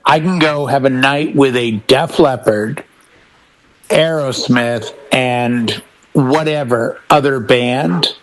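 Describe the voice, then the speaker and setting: A man's voice: medium pitch, slow pace, poor audio. A middle-aged American man in a casual panel chat, telling stories as a DJ and acting out bits of dialogue. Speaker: DJ and podcast panelist.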